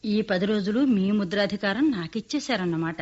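An actress playing a gently teasing wife in a radio play. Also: female voice, medium pitch, a little fast, natural voice. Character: teasing middle-aged wife of industrialist. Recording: muffled radio play with faint music.

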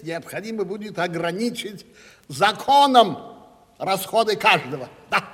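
High-voiced pompous gentleman. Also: heavily acted. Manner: pompous, unctuous, orating. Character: pompous, sentimental gentleman landowner, florid and genteel. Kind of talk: classic Russian stage comedy radio acting. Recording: 1970s radio play, reverberant hall.

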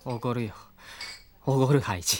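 A woman's voice: extremely low pitch, fairly quick, a little hiss or hum. A woman voicing a long-suffering young male sidekick in a comic audio drama, with blunt, grumbling retorts. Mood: dry, resigned.

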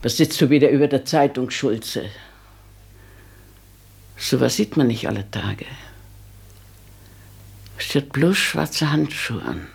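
An actor voicing a nosy, carping middle-class wife in a radio play, with a raspy, creaky voice and a disapproving, sniping drawl. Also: mid-range voice, lively intonation, slow, long pauses, heavily acted.

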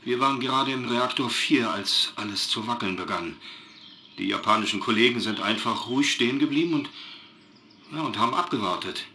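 An actor playing a shaken but factual German engineer, giving an earnest, precise, halting eyewitness account in a radio drama news interview. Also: male voice, mid-range voice, pushed and bright. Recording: noisy live news link.

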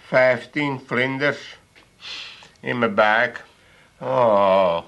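A man's voice: medium pitch, extremely slow, creaky, fairly bright tone, muffled recording, heavily acted. An actor playing a befuddled, lovesick old grandfather in a comic radio play, mumbling and chuckling. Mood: dreamy, lovesick. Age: older.

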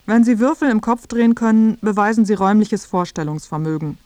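A woman's voice: medium pitch, a little fast, a little mellow, dry recording. A woman narrating a radio feature from a script in a factual, explanatory way. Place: dry studio.

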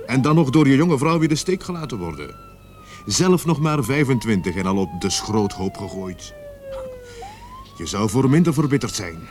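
Weary police sergeant in a radio play, sceptical, very animated pitch, bit of noise behind. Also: male voice, normal speed. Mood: compassionate. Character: experienced police sergeant, sceptical, gruffly compassionate older colleague. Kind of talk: Dutch-language radio play acting.

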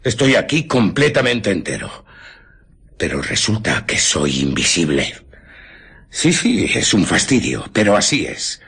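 An actor playing an irritable, haughty invisible stranger in radio drama, scornful and deliberate, with a raspy, breathy voice. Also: male voice, lowish voice, fairly bright tone, full character voice. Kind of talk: Spanish radio drama acting.

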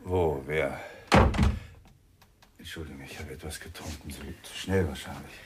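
An actor playing a nervous, tipsy, guilt-ridden man in a German radio crime drama, halting and apologetic as he speaks. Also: rumbling low. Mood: flustered, apologetic. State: tipsy, agitated. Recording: clearly noisy radio drama recording.

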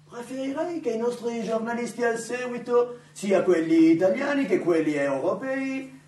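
A high-voiced, pompous vain general. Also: male voice. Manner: theatrical, grandiose, declamatory. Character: vain, pompous 19th-century general staging his own legend. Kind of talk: live stage monologue theatre. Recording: echoey theatre, distant mic, noisy.

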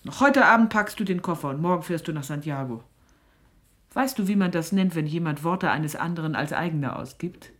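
A woman's voice: low voice, lively intonation, brisk. An actress playing a suspicious, scolding middle-aged mother with an earthy, sarcastic manner in a radio drama. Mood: stern, scornful. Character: stern, sharp-tongued, suspicious mother of a young woman.

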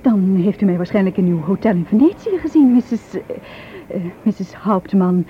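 An actress playing a hesitant, polite, evasive wife in a radio play. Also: female voice, medium pitch, expressive, normal speed, mellow, highs cut off, lightly acted. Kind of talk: Dutch radio drama acting. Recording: thin, noisy old radio recording.

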